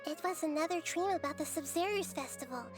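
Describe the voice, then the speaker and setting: A woman voicing a kind, childlike goddess in a video game, calm and thoughtful. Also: very high-pitched, quick pace, over music. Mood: dreamy.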